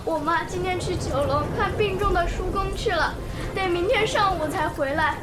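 A woman's voice: very high voice, normal speed. A woman playing a frightened young village girl in a radio drama, pleading urgently and tearfully. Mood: anxious, tearful. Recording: music under the voice.

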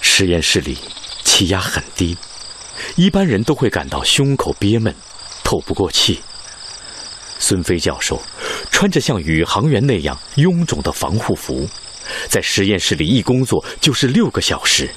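Deep, expressive male drama narrator. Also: normal speed, slight background noise. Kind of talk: scripted radio drama narration.

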